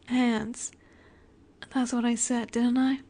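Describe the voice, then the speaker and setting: A woman playing a poised, teasing young vampire in an intimate audio roleplay, speaking gently and unhurriedly with breathy asides. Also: a little high, little pitch movement, slow pace.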